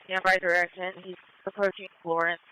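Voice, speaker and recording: Bright, crisp male air unit on police radio. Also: high-pitched, little pitch movement, brisk, poor audio. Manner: terse, running call-outs of directions. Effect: police radio transmission. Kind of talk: police radio pursuit commentary.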